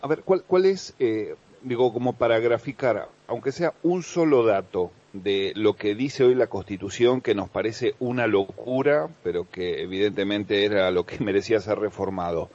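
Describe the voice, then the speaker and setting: A man with a Rioplatense accent hosting a radio show, chatty and quick to interject questions. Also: fairly low pitch, a little mellow, highs cut off. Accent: Rioplatense Spanish. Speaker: radio host. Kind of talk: radio chat.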